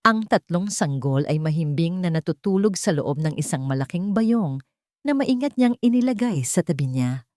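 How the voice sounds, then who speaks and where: A woman narrating a scripted dramatic story, phrasing it deliberately with short pauses. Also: on the low side, animated, darkish tone, dry recording, studio quality.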